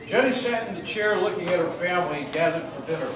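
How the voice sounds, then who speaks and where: Guy doing measured storyteller for a radio melodrama, distant from mic, noisy recording. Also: high-pitched, animated, pitched above the performer's own voice. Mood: solemn storytelling. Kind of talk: scripted radio play narration. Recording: echoey noisy hall, distant microphone.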